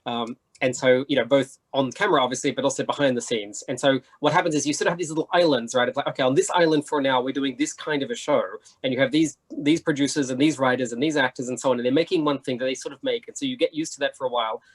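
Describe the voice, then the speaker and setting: A man's voice: mid-range voice, steady tone, quick pace, few pauses, breathy edge. A man speaking as a convention panelist, explaining his points discursively in an informal discussion.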